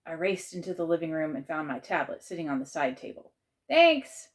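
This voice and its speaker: A woman reading a children's chapter book aloud in measured, expressive narration. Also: low-pitched.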